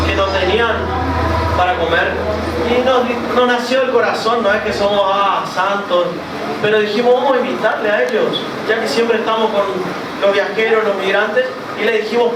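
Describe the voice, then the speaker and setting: Un hombre argentino de que cuenta con tono casual sus viajes a un público, con muchas muletillas y frases que empieza de nuevo. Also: male voice, high voice. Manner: rambling, with many fillers and restarts. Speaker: author presenting travel book. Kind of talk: casual storytelling to an audience. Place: book fair hall. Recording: echoey hall, distant mic, loud noise.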